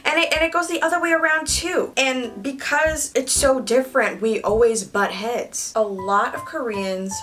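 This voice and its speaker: A woman's voice: high voice, normal speed, few pauses. A young woman from Canada chatting candidly to her viewers while she does her makeup. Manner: candid, animated, talks to the viewers directly. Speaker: beauty vlogger. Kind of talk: casual chat while doing makeup. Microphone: camera mic, close.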